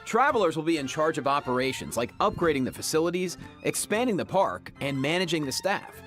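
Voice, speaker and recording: A man co-presenting a game preview program, mixing lively scripted explanation with friendly banter. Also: fairly high pitch, fairly quick, faint music behind.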